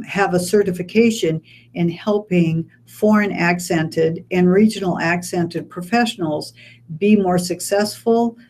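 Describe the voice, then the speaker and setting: A woman with a Midwestern American accent talks in a slightly low voice, laying out details in a steady, explanatory way. She is a speech pathologist sitting in a radio studio as a guest. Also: a little hiss or hum. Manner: measured, informative, explains step by step. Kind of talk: radio interview.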